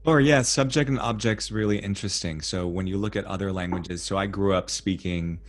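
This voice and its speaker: A man with a very low, deep voice hosts a podcast interview. He talks in a reflective, storytelling way and leans into his own experiences as he goes. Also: fairly quick. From United States. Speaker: podcast host. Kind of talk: conversational interview.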